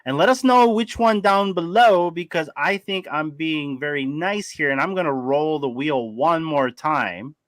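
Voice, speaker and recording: A man with a raspy voice co-hosting a casual livestream, running giveaways in an upbeat way. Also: fairly high pitch, measured pace, hardly any pauses, a bit bright, dry recording. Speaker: livestream co-host.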